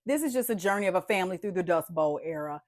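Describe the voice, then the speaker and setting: A woman's voice: on the low side, quick pace, dry recording, clean studio sound. A woman book vlogger with a stuffy, congested voice, chatting casually and animatedly about books she loved. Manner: chatty, animated, says "y'all" and "like" often. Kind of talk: casual book review chat.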